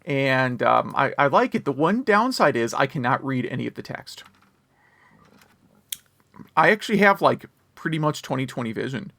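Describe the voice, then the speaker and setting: Male podcast co-host, gravelly mid-range voice, casual, rambling, wry griping. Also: medium pitch, big pitch swings, moderate pace, bright, forceful tone, no room sound. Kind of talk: casual chat about video games.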